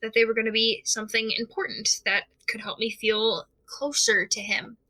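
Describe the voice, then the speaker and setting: An actress playing a frightened, isolated young investigator in an audio drama monologue, speaking haltingly and uneasily with restarts. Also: female voice, on the high side, little pitch movement, sharp tone, rough recording. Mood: sad, lost. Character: frightened isolated young investigator unravelling over mysterious tapes.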